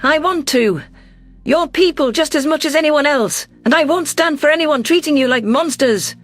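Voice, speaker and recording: Narrator acting a high, impassioned alien stateswoman. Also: normal speed, fairly bright tone, big-room sound, faint music behind. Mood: indignant. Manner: earnest, impassioned. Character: defiant, idealistic female alien ex-governor, indignant and loyal.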